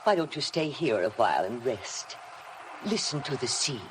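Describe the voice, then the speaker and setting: A chillingly devoted housekeeper in a vintage radio play. She speaks with deliberate, servile formality that insinuates menace. Faint noise sits on the old broadcast recording. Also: rumbling low, big pitch swings. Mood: hypnotic, menacing. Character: sinister, obsessive housekeeper, coldly devoted to dead mistress. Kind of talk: 1950s radio drama acting.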